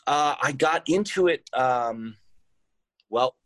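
A man talking casually as an interview guest, informal and thinking out loud as he answers. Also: medium pitch, animated, slow pace, no room sound. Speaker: podcast founder being interviewed.

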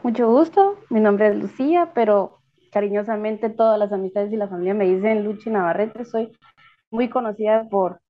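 A woman's voice: mid-range voice, moderate pace, highs cut off. A woman in her twenties from Guatemala with a Guatemalan Spanish accent, an outgoing guest in a group discussion. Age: 20s. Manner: outgoing, upbeat.

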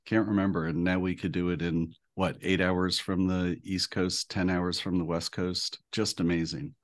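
Man from the US, low voice, relaxed, friendly, earnest podcast host. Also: normal speed. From United States. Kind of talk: casual podcast chat.

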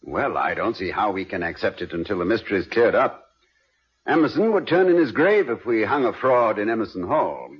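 An actor playing a genial, scholarly college president and playfully wordy husband in 1950s radio comedy style, courteous, wry and musing. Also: male voice, on the low side, very animated, brisk, husky, exaggerated voice. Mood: courteous, concerned. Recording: dull vintage radio recording.

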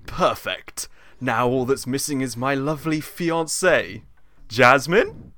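A man's voice: mid-range voice, takes it slowly, sharp tone, no echo, pitched above the performer's own voice. A man voicing a power-hungry pantomime sorcerer villain in a grandiose, theatrical manner. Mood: gloating.

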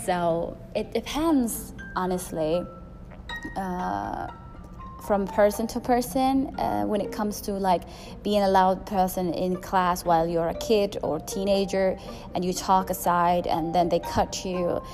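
Loud-voiced woman podcaster over music. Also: fairly low pitch, takes it slowly. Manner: rambling, frequent fillers and restarts. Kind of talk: solo podcast monologue. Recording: music playing behind.

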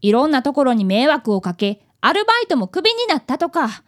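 A woman's voice: high-pitched, very animated, a little fast. A woman playing an earnest, polite fifth-grade boy apprentice detective who narrates a radio drama. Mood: narrating, wry.

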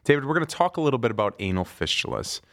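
A man's voice: mid-range voice, very animated, racing, a bit bright. A middle-aged man hosting a podcast, asking questions in a friendly, upbeat way. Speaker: podcast host. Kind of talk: podcast interview.

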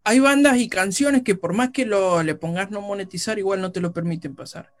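A man co-hosting a radio chat, eager and quick to jump in. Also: high-pitched. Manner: eager, interjecting. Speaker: radio co-host. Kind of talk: casual chat.

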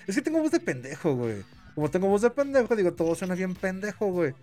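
A man with a Mexican Spanish accent hosting a casual podcast chat, excitable and laughing, swearing freely. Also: high voice, fast talker, faint music behind. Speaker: podcast host.

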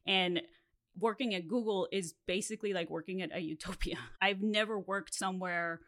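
A woman's voice: medium pitch, normal speed, a bit bright. A woman in her thirties from Mexico, whose first language is Spanish, telling a candid story as a podcast host with laughs between phrases.

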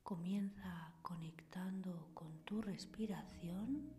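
A woman host leading a scripted guided sleep meditation in a soothing, breathy whisper, with pauses between short phrases. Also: lowish voice. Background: soft meditation music with singing bowls.

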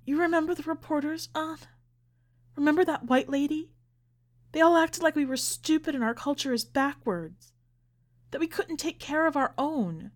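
Woman acting an anguished, high-pitched grieving immigrant mother. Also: normal speed, close to an everyday voice. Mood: bitter. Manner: sobbing, pleading, insistent. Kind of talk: dramatic audiobook character reading.

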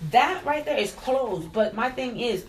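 A woman's voice: on the high side, animated, a little slow, a little hiss or hum. A woman in a casual group chat, talking emphatically and repeating herself to hold the floor.

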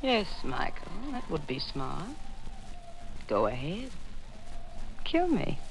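An actress playing a reckless, passionate young woman killer who narrates her crime in an intense 1940s radio thriller style with dramatic pauses. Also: sits low, huge pitch swings, noisy background, full character voice. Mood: tense, defiant. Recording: vintage 1940s radio recording with music.

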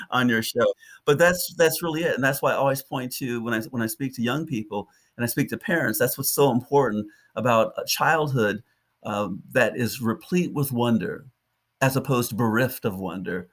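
An older man from Detroit reflects on his life as an interview guest. His voice sits at an ordinary middle pitch, and he tells his stories with vivid, lyrical phrasing and frequent restarts. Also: a bit bright. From Detroit, United States. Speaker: park ranger, podcast guest.

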